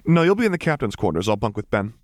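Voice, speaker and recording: A man voicing a wry, teasing, brisk young mother who works as a pilot in a story reading. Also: on the low side, big pitch swings, no echo, clean studio sound, pitched above the performer's own voice.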